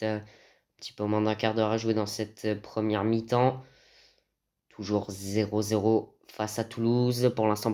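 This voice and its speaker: Male gaming host, medium pitch, hesitant football game commentary with frequent mid-phrase pauses. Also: darkish tone.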